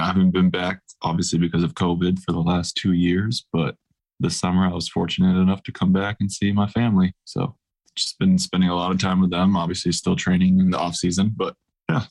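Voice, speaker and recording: A man in his thirties, a professional basketball player, answering interview questions in a relaxed, thoughtful way. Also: low-pitched. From grew up across Asia, mostly Taiwan.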